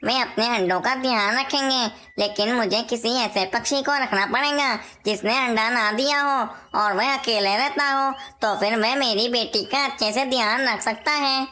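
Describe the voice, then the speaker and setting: Woman as a bright, high-voiced parrot king. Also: normal speed, hardly any pauses. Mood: worried, deliberating. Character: worried, dutiful male parrot king, a father. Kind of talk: Hindi cartoon voice acting.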